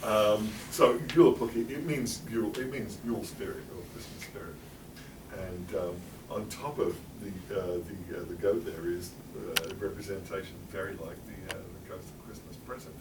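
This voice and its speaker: Wry English man, lower voice, roomy hall. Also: normal speed, very noisy. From England. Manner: chatty, wry, many fillers and laughs. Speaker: presenter. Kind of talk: illustrated library talk. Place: public library meeting room. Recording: roomy hall, speaker far from mic.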